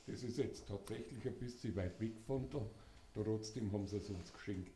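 An older man, hoarse, speaking casually and haltingly to a live audience as a singer-songwriter. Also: fairly low pitch, dark tone, a little hiss or hum. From Bavaria, Germany. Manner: halting, many filler sounds. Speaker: singer-songwriter introducing an event.

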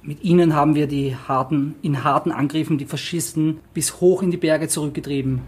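A man's voice: a little high, steady tone, a little fast, a little mellow, a little hiss or hum. A man presenting a book to an audience and reading a passage aloud in turns, often hesitating with fillers. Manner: hesitant, many fillers when speaking freely. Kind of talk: casual introduction and alternating reading aloud.

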